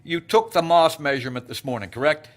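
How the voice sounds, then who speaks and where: A man playing a pragmatic, anxious director of a secret research facility in an audio drama, speaking in measured, formal terms. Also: a little high, close to an everyday voice. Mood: pointed.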